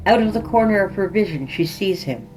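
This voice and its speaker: A woman's voice: medium pitch, lively intonation, fairly quick, darkish tone, low-quality recording. A woman reading scripted horror fiction as a podcast narrator, in a dramatic storytelling style. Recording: music behind the voice. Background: music.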